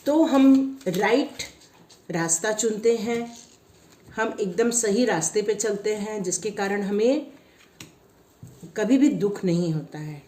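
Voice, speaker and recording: Woman tarot reader, throat a bit sore, mellow voice, echoey room, gently encouraging. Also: medium pitch, lively intonation, slow pace, long pauses. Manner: gentle, encouraging, repetitive guidance. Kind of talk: pick-a-card tarot reading, instructional.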